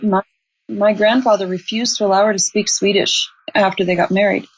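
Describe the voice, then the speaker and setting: Brief: woman in her 60s, guest author, earnest and measured, slightly muffled audio. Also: medium pitch, fairly even intonation. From Montana. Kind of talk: advice in an interview.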